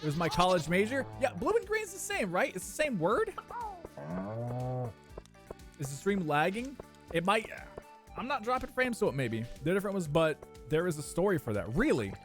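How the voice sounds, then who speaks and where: A young man, chatting casually with his live-stream viewers, animated and prone to squeaky bursts of laughter. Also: normal speed, pauses often, soft background music.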